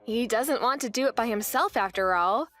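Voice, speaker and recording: A woman's voice: a little high, moderate pace, fairly bright tone, faint music behind. A young woman narrating her own story as a wife in a manga dub, speaking in an earnest, weary way.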